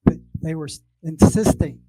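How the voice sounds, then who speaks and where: Older American man, higher-pitched, lecturing. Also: normal speed, dark tone, dry recording, low-quality recording. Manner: emphatic, opinionated, repeats points for stress. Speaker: speaker, former mental health worker. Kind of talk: informal lecture.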